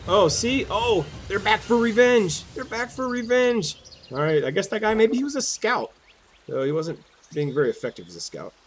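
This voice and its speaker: Animated man doing casual gameplay commentary. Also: high voice, normal speed. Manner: self-deprecating, thinking aloud. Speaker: gaming video host. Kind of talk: casual let's play commentary. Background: game music and effects.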